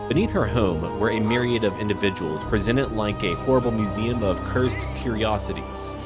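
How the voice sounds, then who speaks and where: Lower-voiced man narrating his gameplay. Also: over music. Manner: measured, musing, talks to himself. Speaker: let's play host. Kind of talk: narrated roleplay gameplay commentary.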